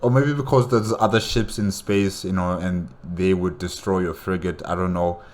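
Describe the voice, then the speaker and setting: A man giving casual, unscripted commentary as a reaction host, with halting and repetitive phrasing. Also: deep voice, fairly even intonation, darkish tone.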